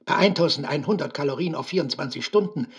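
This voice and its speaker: A man playing a brusque commander, higher-pitched and husky. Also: animated, quick pace. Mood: snapping, stern. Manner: sharp, barking, reproachful. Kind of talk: acted quote in literary radio drama.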